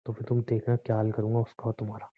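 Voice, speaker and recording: A man's voice: on the low side, completely flat delivery, racing, natural voice. A man plays a bitter husband in a domestic argument drama. He is domineering and sarcastic, pushing with taunts and threats. His voice comes through thin and boxed-in, like a recorded phone call. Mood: threatening, goading.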